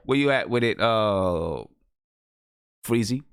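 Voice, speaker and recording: A man with a creaky, gravelly voice hosts in a casual, halting way, repeating words as he thinks. The sound is dry and close, with very little room in it. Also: lowish voice, lively intonation, dry recording. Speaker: host of a live music review show. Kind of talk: casual chat.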